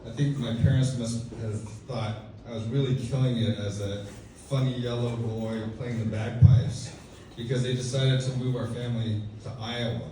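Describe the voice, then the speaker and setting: A man's voice: medium pitch, steady tone, normal speed, noisy background. A man in his fifties from Texas doing a stand-up comedy set, telling dry, self-deprecating stories with lots of casual fillers. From Texas, USA. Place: comedy club stage. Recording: echoey club audio from a distant mic. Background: audience laughter.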